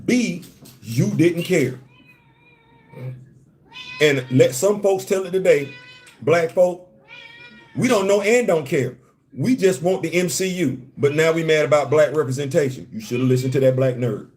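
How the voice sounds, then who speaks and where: A man's voice: fairly high pitch, unhurried, long pauses, darkish tone. A man from New York with a slight Southern-tinged accent, hosting a live stream and talking emphatically in slangy, repetitive bursts while greeting viewers. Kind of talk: animated live-stream commentary.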